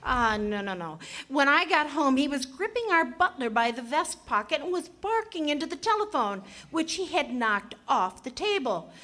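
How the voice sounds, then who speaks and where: A woman's voice: high-pitched, very animated, normal speed, few pauses, a bit bright. A woman playing a genteel, haughty society lady who is condescendingly polite, in 1940s radio comedy style. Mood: proud storytelling.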